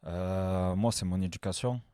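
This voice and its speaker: Very deep-voiced young man. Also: a little slow, clean tone, dry recording, clean studio sound. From France. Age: 20s. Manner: relaxed, simple, with fillers. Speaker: guest, hairdresser. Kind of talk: casual interview answers.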